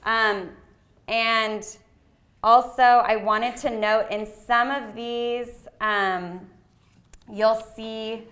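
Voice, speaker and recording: A woman giving a live training presentation to an audience in a meeting room. She speaks loudly and casually, with frequent ums. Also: fairly high pitch, fairly even intonation, drawn-out words. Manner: chatty, frequent ums, loud voice. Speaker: workshop presenter. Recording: roomy echoey hall sound.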